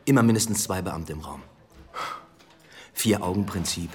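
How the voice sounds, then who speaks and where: An actor in a German radio drama playing a guilt-ridden, agitated police detective with a brooding, bitter manner. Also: male voice, deep voice, moderate pace, close to an everyday voice.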